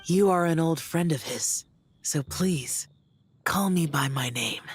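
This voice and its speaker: A woman voicing a stoic, youthful male immortal warrior in a video game, husky, solemn and measured, with formal phrasing. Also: sits low, exaggerated voice. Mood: reserved, polite.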